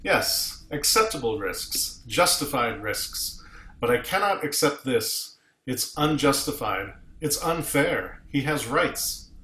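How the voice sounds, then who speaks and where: Starship captain, male, principled and indignant. Forceful, earnest, roomy sound. Man acting at a table read. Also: medium pitch, animated, slow pace. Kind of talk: science fiction script table read.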